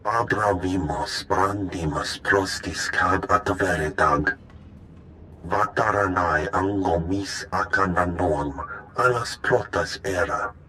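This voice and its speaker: A video game alien with a low, heavy voice. He speaks an invented tongue slowly and deliberately, over some background noise. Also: sharp tone, heavily acted. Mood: terse.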